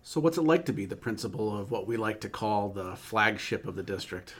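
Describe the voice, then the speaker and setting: A man's voice: lowish voice, lively intonation, a little fast. A man, a school superintendent, hosting a podcast and asking questions in a friendly, slightly hesitant way.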